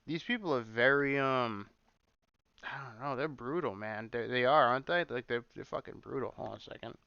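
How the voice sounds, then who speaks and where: A man's voice: medium pitch, fairly quick, bright, forceful tone, clean tone, no room sound, lo-fi sound. A man hosting a casual livestream, rambling and repeating himself, swearing often as he reacts. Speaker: livestream host. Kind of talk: casual livestream chat reacting to clips and viewer comments.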